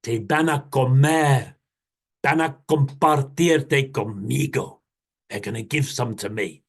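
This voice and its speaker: Hungry storybook jaguar, low, growling and slow, as a storyteller puts him on. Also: husky. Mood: scheming. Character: big hungry menacing jaguar. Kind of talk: bilingual children's storytelling.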